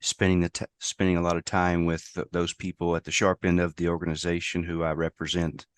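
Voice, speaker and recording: A man from the United States, a safety professional, talking hoarsely in a casual interview, halting with many restarts. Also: sits low, monotone, no room sound. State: hoarse from a lost voice.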